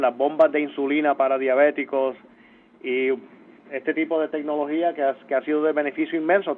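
Brief: Puerto Rican man, interview guest, halting and full of restarts, heard over a thin phone line. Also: fairly high pitch. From Puerto Rico. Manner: halting, many restarts and fillers. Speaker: space agency mission coordinator, interview guest.

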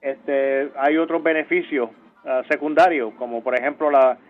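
A man's voice: medium pitch, steady tone. Puerto Rican man on a tinny phone line. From Puerto Rico. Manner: halting, many restarts and fillers. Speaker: space agency mission coordinator, interview guest. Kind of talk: phone interview.

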